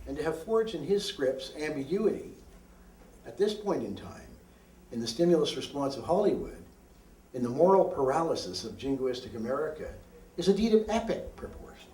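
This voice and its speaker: A man's voice: on the high side, very animated, moderate pace, warm tone. Echoey, distant male poet reading aloud. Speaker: poet reading own work. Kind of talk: live poetry reading, declamatory. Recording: roomy echo, distant microphone.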